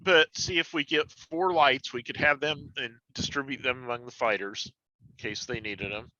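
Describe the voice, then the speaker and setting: Male game player, bright forceful voice, casual, on dull lo-fi audio. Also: mid-range voice, fairly even intonation, moderate pace, dry recording. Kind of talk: casual group game chat. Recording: dull, dry lo-fi voice capture.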